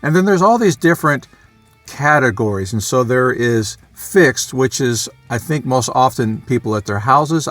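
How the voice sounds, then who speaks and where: A man's voice: mid-range voice, a bit bright. A man presenting a casual, unscripted vlog explainer, talking conversationally and thinking aloud as he goes. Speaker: amateur radio hobbyist and video presenter.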